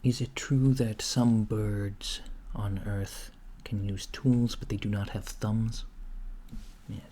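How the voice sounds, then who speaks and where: Male, lowish gentle voice for an ASMR alien clerk: curious, earnest, apologetic, near-whispered, over clearly audible noise and typing. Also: steady tone, takes it slowly. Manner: hesitant, apologetic, earnest questioning, drifting into whispers. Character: polite, curious, naive alien data-entry clerk. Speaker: ASMR roleplay performer. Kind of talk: soft-spoken ASMR roleplay. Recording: noisy close recording with keyboard typing. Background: computer keyboard typing.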